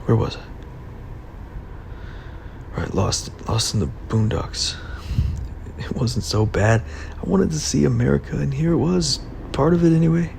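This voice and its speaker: Male actor as uneasy young graduate in hiding, audio drama confession; creaky voice, halting and confiding, clear road noise behind. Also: lightly acted. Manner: confiding, halting, uneasy, breaking into hushed asides. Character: anxious young male college graduate on the run, recording a confession. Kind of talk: first-person audio drama monologue. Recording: noisy, steady vehicle rumble behind the voice.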